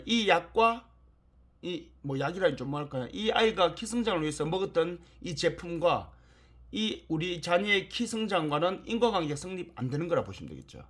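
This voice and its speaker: A man's voice: sits high, husky. A man lecturing in an explanatory, emphatic way, repeating key points and asking rhetorical questions.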